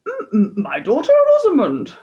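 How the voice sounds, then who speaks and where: Woman putting on an excitable, high-pitched storybook king. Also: moderate pace, muffled recording. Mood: nervous hope. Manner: exclamatory, eager. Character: rude, excitable, scheming storybook king and father.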